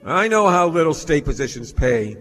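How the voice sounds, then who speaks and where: A man's voice: a little high, sing-song, moderate pace, fairly bright tone. An actor playing a greedy, domineering businessman in a stage radio play, with a smug, cutting, commanding manner. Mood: menacing, scheming. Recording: reverberant theatre hall, music in recording.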